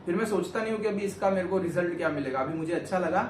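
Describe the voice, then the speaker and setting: A man's voice: high voice, very fast. A young man from Gujarat, India, answering questions about himself on his vlog in a rambling, earnest way. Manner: rambling, earnest, self-correcting. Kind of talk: casual interview chat. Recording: echoey room, far from mic, noisy.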